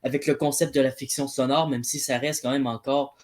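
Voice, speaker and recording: A man's voice: mid-range voice, level tone, a little fast, darkish tone. A man in his early twenties with a Quebec French accent, speaking tentatively with many asides in a casual online debate. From Quebec, Canada. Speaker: debate participant.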